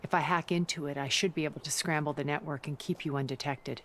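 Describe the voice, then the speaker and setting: A woman playing a composed, secretive strategist in a video game, speaking in a measured, formal and assured way. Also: low voice, steady tone, a bit bright, a little hiss or hum. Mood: matter-of-fact.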